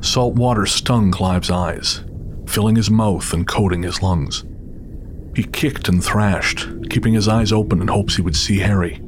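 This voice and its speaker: A man narrating an audio drama in a grave, measured way. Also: lowish voice, noisy background. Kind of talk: scripted narration. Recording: loud music bed under voice.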